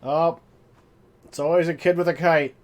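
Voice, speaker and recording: A man's voice: fairly high pitch, fairly even intonation, normal speed, darkish tone. A man giving casual, off-the-cuff commentary as the host of a reaction video.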